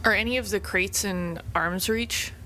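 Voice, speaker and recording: Bright-voiced woman playing a tabletop game. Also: mid-range voice, unhurried, slight background noise, poor audio. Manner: inquisitive, thinking aloud. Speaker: player. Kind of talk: tabletop role-play.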